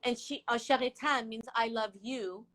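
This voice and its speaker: A middle-aged woman who grew up in Iran and speaks Farsi as her first language. She is a guest in a casual chat, giggly and talkative, restarting her words. Her voice is sharp and bright, pitched a little high. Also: fairly even intonation, normal speed. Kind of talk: casual live-stream chat.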